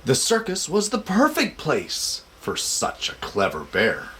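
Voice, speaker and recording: A man hosting a show for families and reading a children's picture book aloud in an expressive, measured way. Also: medium pitch, a little hiss or hum. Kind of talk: casual introduction, then expressive storybook read-aloud.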